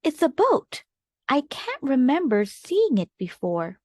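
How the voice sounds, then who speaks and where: A woman voicing a shy little girl in a new home, speaking softly and anxiously. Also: high voice, huge pitch swings, slow, no echo, clean studio sound, full character voice. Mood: curious. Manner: soft, worried, wistful.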